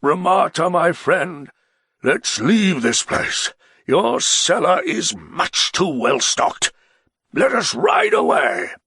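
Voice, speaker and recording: A narrator puts on a melancholy, blustering nobleman who stretches his words slowly and loudly, his voice raised high above the storyteller's own and rough with gravel. He swings into sudden shouts, heavy with wounded pride, in a dry close recording. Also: big pitch swings, no room sound, heavily acted. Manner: loud, drawn-out, exclamatory delivery, sometimes shouting. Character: blustering, melancholy middle-aged male nobleman.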